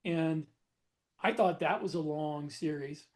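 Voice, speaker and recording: A man co-hosting a podcast chats in a relaxed way and often breaks into small laughs. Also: a little high, slow pace. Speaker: podcast co-host, author. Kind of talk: casual panel chat.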